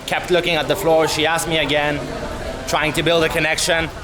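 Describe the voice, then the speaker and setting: A young man telling a travel story to camera as a vlogger, candid and animated, swearing casually, outdoors in a city street. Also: a little high, a little fast, crowd noise, rough recording. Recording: music playing under the voice.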